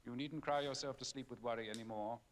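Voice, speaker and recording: An actor playing a shrewd British gentleman detective in a period mystery film, measured, precise and coolly authoritative. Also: mid-range voice, steady tone, crowd noise, lightly acted. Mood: grave, probing. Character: shrewd, composed gentleman detective of Victorian England. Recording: film playback with faint background noise.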